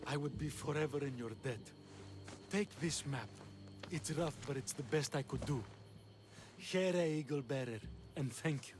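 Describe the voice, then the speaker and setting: A man's voice: mid-range voice, measured pace, long pauses, music in the background, close to an everyday voice. A man playing a troubled townsman of ancient Greece in a game cutscene, grave and earnest. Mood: grateful, earnest. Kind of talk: video game cutscene acting.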